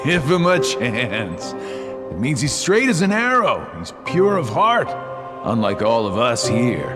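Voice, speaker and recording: An actor playing a smug, teasing villain in a video game, with a mocking, casual drawl. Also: male voice, mid-range voice, wildly swooping pitch, measured pace, loud music behind the voice. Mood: wry, teasing. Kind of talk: video game cutscene acting.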